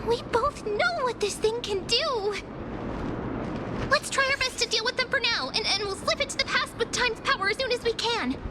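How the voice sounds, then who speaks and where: A woman voicing a small, gentle, anxious young fairy girl in a video game, with a childlike, hesitant delivery. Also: very high voice, expressive, normal speed, heavy background noise. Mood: frightened.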